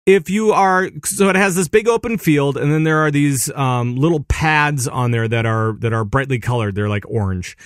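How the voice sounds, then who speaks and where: A man hosting a casual gaming podcast, animated and excitable, laughing often as he explains things. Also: on the high side, normal speed, few pauses, clear, resonant tone, no echo, studio quality. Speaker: podcast host.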